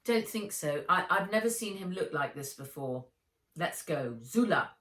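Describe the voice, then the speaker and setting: A woman's voice: on the low side, animated, unhurried, fairly bright tone. A woman voicing a plucky, earnest young girl with an eager manner in a children's storybook. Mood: decisive. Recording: roomy echo, distant from mic.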